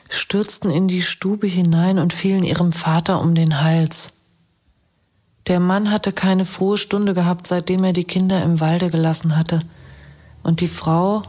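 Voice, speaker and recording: An actress playing a woman solemnly reciting an old fairy tale in a radio drama, with a husky, deliberate delivery. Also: on the low side, a little mellow. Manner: deliberate storytelling.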